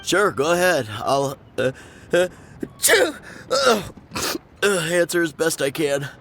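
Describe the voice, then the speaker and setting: An actor playing a dutiful city guard in a video game, hesitant and sick with a cold. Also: male voice, a little high, very animated, vocal fry, background noise, full character voice. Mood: sickly, halting. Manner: hesitant, halting. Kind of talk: video game character dialogue.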